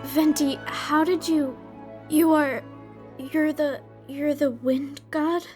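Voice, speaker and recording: A woman's voice: sits high, fairly even intonation, takes it slowly, a little mellow, soft background music. A woman voicing a brave, earnest young female warrior in a theatrical manga dub. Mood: astonished.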